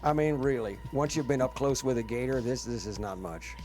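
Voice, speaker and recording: A man's voice: medium pitch, animated, fairly quick, darkish tone, soft background music. A man with a wry, joking manner, bantering casually as a player in a tabletop roleplaying game.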